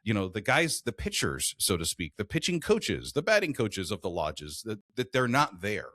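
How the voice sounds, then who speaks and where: The host of a hobby podcast talking casually into a close mic: an American man in his forties, low voice, no room sound. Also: wildly swooping pitch, moderate pace, fairly bright tone, dry recording. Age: late 40s. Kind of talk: casual conversational interview.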